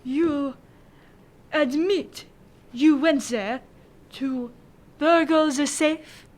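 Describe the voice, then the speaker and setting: A woman plays a stern French prefect in a radio drama. The voice is high, and it questions with formal authority in short, clipped phrases. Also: extremely slow, a little mellow. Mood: stern, incredulous.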